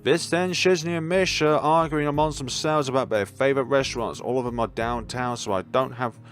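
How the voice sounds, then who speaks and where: A young man reading a visual novel aloud as a let's player, expressive but sometimes stumbling, with casual commentary of his own. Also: mid-range voice, a little fast, faint music behind.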